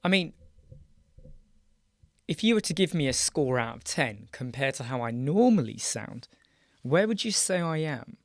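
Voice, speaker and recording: A hoarse, croaky British man hosting a casual live chat, greeting viewers by name and trailing off into restarts. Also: medium pitch, animated, a little slow, pauses often, no echo. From United Kingdom. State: hoarse, croaky, clearing his throat. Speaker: live chat show host. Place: small dark room with soundproof panels.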